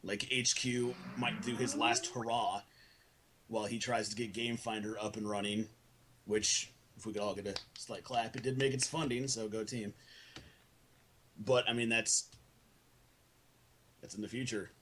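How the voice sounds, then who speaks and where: A man's voice: on the low side, flat delivery, a little slow, pauses often, a bit bright, slight background noise. A man speaking bluntly, with plenty of swearing, in an argumentative casual group conversation. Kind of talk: heated group voice call argument.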